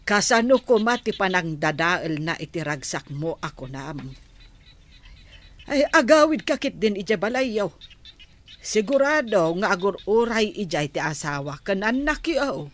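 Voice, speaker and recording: A woman's voice: mid-range voice, sing-song, measured pace. A woman playing a concerned, questioning middle-aged mother in a radio drama, with a Filipino Ilocano accent. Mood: concerned, admonishing.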